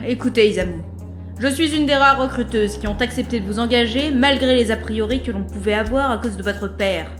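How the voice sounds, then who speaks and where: A woman's voice: a little high, brisk, soft background music, natural voice. An actress playing a stern, disapproving boss in an audio drama, formal and reproachful in her wording. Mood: disappointed. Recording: roomy echo on the voice.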